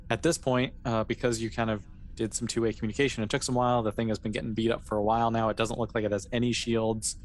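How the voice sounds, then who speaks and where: A man's voice: fairly low pitch, little pitch movement, fast talker. A man narrating a tabletop role-playing game as game master, in an informal, hesitant, piecemeal way. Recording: clear background noise.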